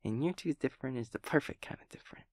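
A man voicing a sweet, naive, cheerful young daemon friend in an intimate audio roleplay, with soft, breathy speech and gentle, halting rambling. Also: medium pitch, no echo, studio quality, lightly acted. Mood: gentle, reassuring. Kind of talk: intimate audio roleplay acting, one-sided conversation.

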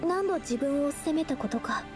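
A gentle young painter for an anime game. Her voice is high, earnest and polite, with a shy, soft-hearted temper. Game music plays clearly behind her. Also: lively intonation, normal speed. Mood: gentle, sorrowful. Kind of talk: Japanese anime game voice acting.

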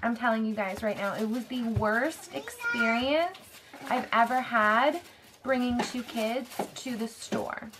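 A young woman in her twenties chatting casually in a bedroom haul vlog, rambling with lots of fillers, her voice slightly hoarse. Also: a little high, sing-song, unhurried, background noise. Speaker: vlogger. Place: bedroom, indoors.

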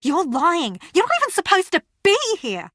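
A woman's voice: very high-pitched, huge pitch swings, moderate pace, a bit bright, no echo, studio quality, full character voice. A woman acting an intense, accusing young female rival in a dramatic video game scene.

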